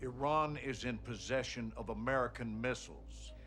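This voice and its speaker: A man's voice: mid-range voice, moderate pace, over music, lightly acted. A man playing an irate, domineering army general in a military video game. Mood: angry.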